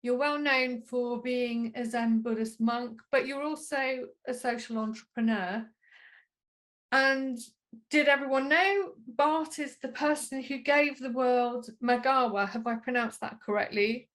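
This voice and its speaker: Higher-voiced woman hosting an online event. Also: fairly even intonation, a little slow, a bit bright. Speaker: conference host. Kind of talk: moderating an online panel, introducing speakers. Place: at home, on a video call.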